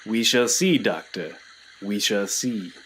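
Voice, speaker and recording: Male actor as enigmatic tribesman, measured, cryptic, quietly commanding, radio drama, faint jungle noise. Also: fairly low pitch. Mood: calm, cryptic. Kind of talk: radio play acting.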